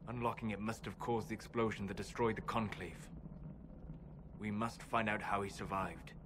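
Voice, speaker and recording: A man playing a learned, wary elf adviser in a video game, speaking calmly and gravely with deliberate, formal phrasing. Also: lowish voice, noisy background, lightly acted. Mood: grave, measured. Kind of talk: dramatic video game dialogue.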